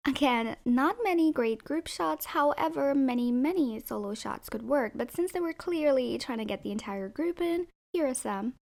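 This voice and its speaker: Hoarse high-voiced woman with sarcastic jabs. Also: expressive, moderate pace, hardly any pauses, darkish tone. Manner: sarcastic, opinionated, playful jabs. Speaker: YouTuber reviewing music video thumbnails. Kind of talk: scripted commentary voice-over.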